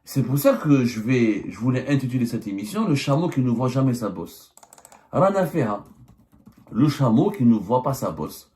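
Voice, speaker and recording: A man's voice: mid-range voice, very animated, moderate pace, mellow. A man, a journalist and channel host delivering a political commentary monologue, emphatic and full of rhetorical questions. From Algeria. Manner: hesitant fillers and restarts.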